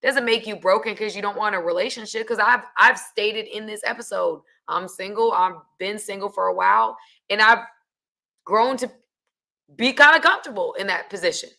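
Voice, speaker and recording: A woman hosting a podcast with a raspy voice, talking casually to her listeners. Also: mid-range voice, normal speed. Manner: casual, addresses listeners warmly. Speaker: podcast host. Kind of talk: podcast chat.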